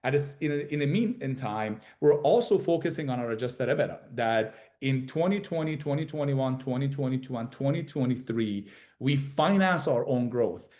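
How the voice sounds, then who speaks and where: A man speaking as a company executive on an earnings call, with halting delivery, often repeating and correcting himself. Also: mid-range voice, expressive, a little mellow. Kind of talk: investor earnings call presentation.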